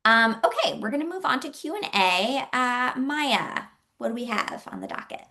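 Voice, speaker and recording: A woman's voice: high-pitched, very animated, moderate pace, pushed and bright, slight background noise. A woman presenting on an informational call in an informal, explanatory way, conversational and a little hesitant in her phrasing. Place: online group call.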